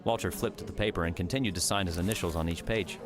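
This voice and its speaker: A man's voice: deep voice, fast talker, crowd noise. A man reading scripted third-person story narration as an audiobook narrator.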